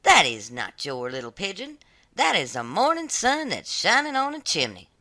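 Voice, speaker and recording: A storybook narrator voicing a hard, scolding stepmother with a sharp, bossy manner. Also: medium pitch, wildly swooping pitch, normal speed, no room sound, voice pushed up, full character voice. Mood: scornful.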